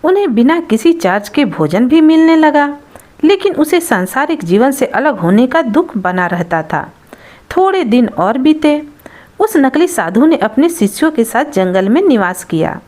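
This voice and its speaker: A woman's voice: high-pitched, very animated, unhurried, a little mellow. A woman narrating a scripted folktale as a storyteller.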